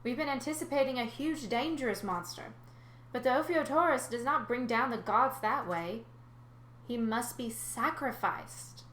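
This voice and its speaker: A woman's voice: on the high side, expressive, normal speed, fairly bright tone, voice pushed up. A woman voicing a solemn, ancient huntress from a storybook, with archaic wording. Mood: grave, regretful. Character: grave ancient young huntress telling old lore. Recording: roomy echo, faint background noise.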